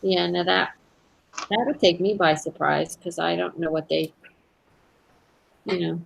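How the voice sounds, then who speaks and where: Creaky-voiced Brooklyn woman chatting casually. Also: lowish voice, steady tone, a little slow, low-quality recording. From Brooklyn, New York. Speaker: host of a live crafting hangout. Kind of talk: casual chat with a friend and online viewers.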